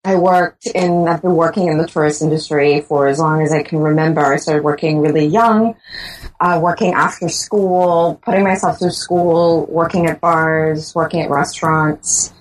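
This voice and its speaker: Woman from the Bahamas, low pitch, reflective interview answers, frequent fillers. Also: fairly even intonation, normal speed, rough recording. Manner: thoughtful, many restarts and fillers. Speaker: guest author.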